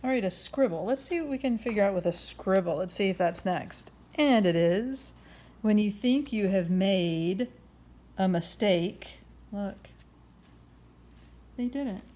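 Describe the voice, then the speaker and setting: Expressive woman reading a picture book aloud. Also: medium pitch, unhurried. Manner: expressive, encouraging, asks questions of listeners. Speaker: children's story time host and reader.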